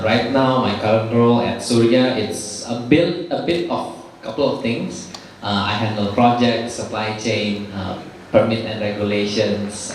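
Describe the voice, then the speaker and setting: Man, lowish voice, relaxed, casual, panelist, echoey hall from far off. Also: a little hiss or hum. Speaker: panelist, operations vice president. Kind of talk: self-introduction on a panel.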